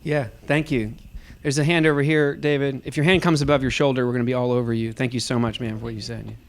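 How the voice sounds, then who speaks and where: Fast-talking male discussion moderator. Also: mid-range voice, level tone. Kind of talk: facilitating an audience talkback.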